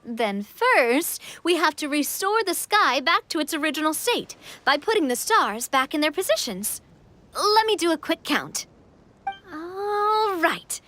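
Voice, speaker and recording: A woman's voice: sits high, sing-song, measured pace, sharp tone, exaggerated voice. A woman voicing a cheerful, friendly young storybook king in a game. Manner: upbeat, friendly, reassuring. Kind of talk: game voice acting.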